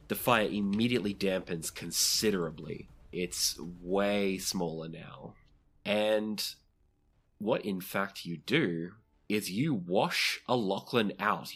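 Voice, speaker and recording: A man with a low, husky voice acts as game master for a tabletop game. He describes the action as he goes and checks rules with the players. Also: a bit bright. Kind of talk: improvised tabletop game narration.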